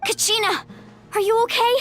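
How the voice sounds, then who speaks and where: A woman's voice: extremely high pitch, fairly even intonation, normal speed. A woman voicing a spirited, loyal young heroine in a video game, earnest, emphatic and protective in manner. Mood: worried, urgent. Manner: earnest, emphatic, warm encouragement. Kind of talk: English video game voice acting.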